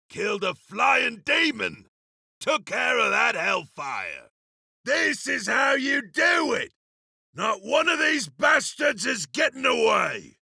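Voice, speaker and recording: A man voicing a battle-hungry iron berserker for a video game, gruff and cocky, with rough, forceful barks. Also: extremely high pitch, no room sound, clean studio sound, heavily acted. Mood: battle fury. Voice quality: gravelly, rough.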